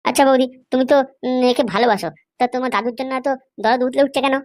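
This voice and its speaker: A woman's voice: high voice, steady tone, racing, a little mellow, lightly acted. A woman voicing a meddling, insolent young male sidekick, mocking and accusing. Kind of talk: amateur comedy cartoon dub.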